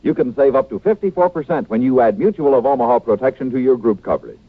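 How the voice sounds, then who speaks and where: A man's voice: mid-range voice, expressive, quick pace, dry recording. A man with an American accent reading scripted sponsor messages as a vintage radio announcer. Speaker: commercial announcer. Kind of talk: 1950s radio advertisement read. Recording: tinny, dry old radio recording.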